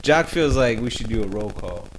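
A man's voice: medium pitch, expressive, moderate pace. A young man, co-hosting a podcast in a casual, joking way and swearing lightly. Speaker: podcast co-host. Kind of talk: casual chat. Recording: noisy home recording with clicks.

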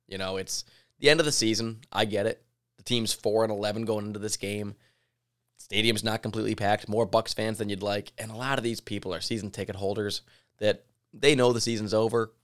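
Guy talking on his podcast, kinda raspy from yelling, lower voice, chatty and amped. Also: fairly quick. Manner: casual, chatty, excitable. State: slightly raspy from yelling at a game. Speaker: podcast host. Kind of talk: solo sports podcast talk.

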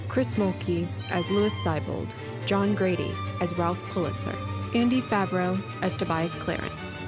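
A woman reading out credits as a scripted announcement in an even, orderly way. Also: on the low side.

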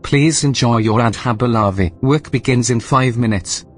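An actor playing a composed, snide artificial intelligence running a station in a sci-fi audio drama, speaking in a precise, formal, deadpan way. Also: male voice, medium pitch, normal speed, faint music behind, natural voice. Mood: dryly amused. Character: dry, snide male AI station supervisor, composed.

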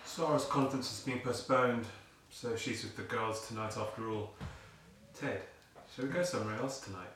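A man's voice: lowish voice, normal speed, fairly bright tone, close to an everyday voice. A man playing a lonely fellow angling for company in an audio play, hopeful and tentative. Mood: hopeful, resigned. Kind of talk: audio drama acting. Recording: very echoey, distant, faint noise.

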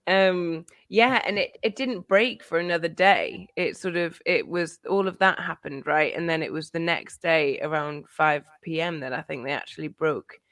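A woman hosting a podcast, calmly steering the conversation with questions. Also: low voice, moderate pace, few pauses, no echo. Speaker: podcast host.

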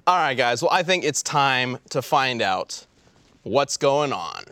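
A man's voice: mid-range voice, very animated, unhurried, bright, forceful tone, no echo. A man hosting a podcast, chatting casually with a group. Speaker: podcast host.

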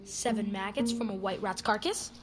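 An actress playing a bossy, nervy young sibling dabbling in the occult, earnest and dramatic, in a horror audio drama. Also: female voice, medium pitch, fairly even intonation, moderate pace, close to an everyday voice. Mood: businesslike. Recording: loud music behind the voice.

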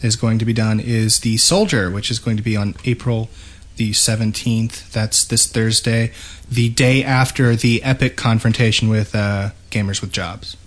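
A man's voice: fairly low pitch, steady tone, normal speed. A man talking casually as one of the hosts of a podcast. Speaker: podcast co-host.

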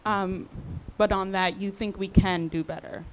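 A woman's voice: mid-range voice, steady tone, measured pace. A woman with a mid-range voice conducts a conversational interview. She words her questions with care, feeling her way into them a little tentatively.

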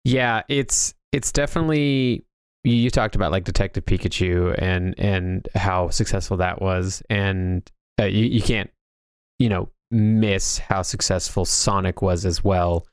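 A man's voice: low-pitched, fairly even intonation, unhurried, clear, resonant tone, no room sound, studio quality. A man, chatting conversationally about films as a co-host. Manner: conversational, anecdotal. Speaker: talk show co-host. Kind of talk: casual film discussion.

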